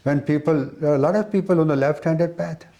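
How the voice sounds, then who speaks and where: Mellow, higher-pitched man explaining spiritual ideas. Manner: halting, repetitive phrasing. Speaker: spiritual teacher being interviewed. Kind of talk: explanatory spiritual talk in an interview.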